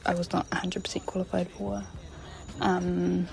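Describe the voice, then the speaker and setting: A woman, a caller telling a short anecdote slowly and with hesitant fillers. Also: lowish voice, flat delivery, creaky, a little mellow, low-quality recording. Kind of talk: voice message anecdote.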